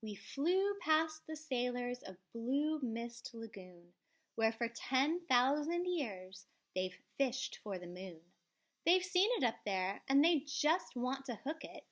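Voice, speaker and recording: Slow, animated woman reading a storybook. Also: high-pitched. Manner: theatrical, drops to hushed tones and swells. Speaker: elementary school librarian reading aloud. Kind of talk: expressive children's storybook read-aloud.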